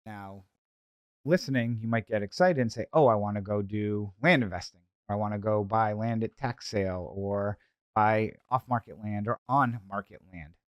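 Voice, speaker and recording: A man's voice: fairly low pitch, moderate pace, clear, resonant tone, no echo, studio quality. A man with a Boston accent hosting a solo podcast, talking informally with conversational asides. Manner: rhetorical self-questioning, conversational asides. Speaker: podcast host, investor.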